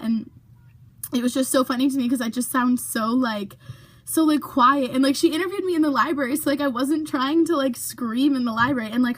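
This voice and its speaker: A woman's voice: high-pitched, fairly bright tone. Chatty young woman vlogging to camera. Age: young adult. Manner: chatty, many fillers, drops to whispers for asides. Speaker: YouTuber. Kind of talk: casual vlog chat.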